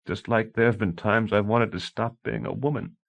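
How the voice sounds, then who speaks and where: A man reading an audiobook, voicing a frantic young wife who secretly practices witchcraft, shrill as she pleads and accuses. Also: steady tone, moderate pace, vocal fry, fairly bright tone. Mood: defeated.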